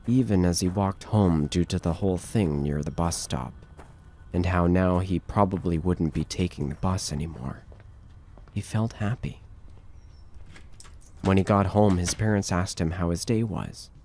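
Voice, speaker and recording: A man's voice: sits low, a little mellow, a little hiss or hum. A man reading scripted narration for a horror audio drama.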